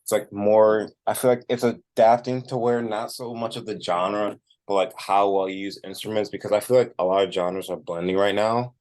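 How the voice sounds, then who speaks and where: A man with a low-pitched voice gives a casual interview as a musician. His phrasing is informal and conversational, peppered with "like.". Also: steady tone, moderate pace, hardly any pauses, darkish tone. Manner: informal, frequent "like" as filler.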